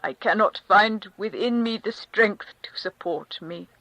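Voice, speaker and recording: A woman's voice: mid-range voice, a little slow, a bit bright, dry recording, lightly acted, rough recording. A woman reading a crushed, humiliated middle-aged man in a thin, strained, pleading voice. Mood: anguished. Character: grieving humiliated middle-aged male official. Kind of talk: audiobook character reading.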